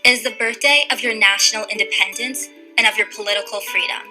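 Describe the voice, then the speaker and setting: A woman reciting solemn oratory with deliberate, formal phrasing. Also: medium pitch, steady tone, sharp tone, faint music behind, rough recording.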